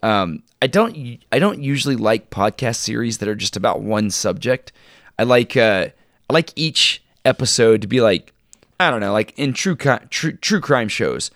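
A man co-hosting a podcast, chatting casually in an informal, halting way and sounding enthusiastic about his favorites. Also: mid-range voice, animated, fairly bright tone, no echo, studio quality. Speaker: podcast co-host. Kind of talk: casual chat, recommending shows and books.

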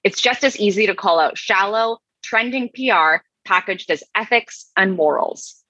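A woman co-host with a bright, forceful and somewhat husky voice. She reads comments aloud in a deadpan, slow and weighty way. The sound is dry and a little dull, like a lo-fi mic. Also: mid-range voice, no echo. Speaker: podcast co-host. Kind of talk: dramatic reading of comments.

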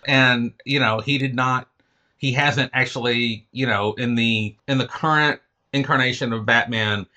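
A man with a bright, forceful voice and a lisp. He talks as an interview guest, learned and emphatic, often breaking off to restate his point. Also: medium pitch, fairly even intonation, a little slow. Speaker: interview guest, fiction writer and teacher. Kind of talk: conversational literary interview, discursive explanations.

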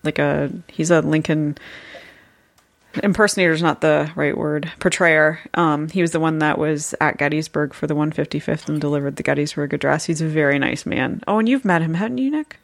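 Female podcast co-host, slightly low, gravelly voice, stuffed-up and sniffly, chatty and easygoing. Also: brisk. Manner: chatty, laughing easily, reading news aloud. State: sniffly, congested. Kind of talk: casual chat.

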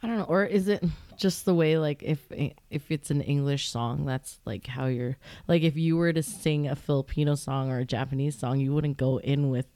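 Male singer guest, relaxed and agreeable, dry close-mic sound. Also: on the high side, moderate pace, few pauses, no echo. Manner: easygoing, agreeable, filler-heavy. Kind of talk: casual chat.

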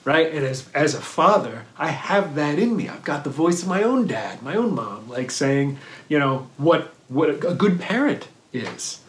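A man giving a thoughtful dharma talk on mindfulness, pausing and restarting often and checking in with "right?". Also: on the high side, big pitch swings, fairly bright tone. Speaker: meditation teacher. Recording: roomy echo.

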